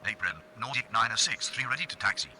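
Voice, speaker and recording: A man's voice: mid-range voice, lively intonation, fast talker, background noise, natural voice. A man voicing a businesslike airline pilot in a simulator game, giving formal, clipped radio read-backs. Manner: formal, clipped, matter-of-fact.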